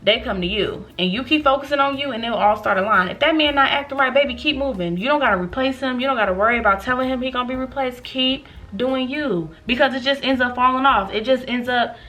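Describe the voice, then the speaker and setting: A woman in her late twenties telling a storytime to her viewers in an animated, chatty way, calling them y'all. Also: high voice, quick pace, hardly any pauses, soft background music. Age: 20s. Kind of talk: casual storytime vlog.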